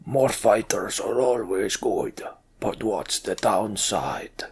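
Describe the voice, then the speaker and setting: A man voicing a stern, decisive giant warrior leader in a fantasy game, with slow, weighty, commanding speech. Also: fairly low pitch, animated, breathy edge, exaggerated voice. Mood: measured.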